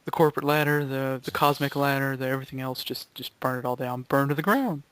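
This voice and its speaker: Young guy just rambling w his gaming group, regular mid voice, jokey and kinda animated. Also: mid-range voice, quick pace, clean tone. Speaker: member of an online gaming video group. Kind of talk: rambling casual group chat.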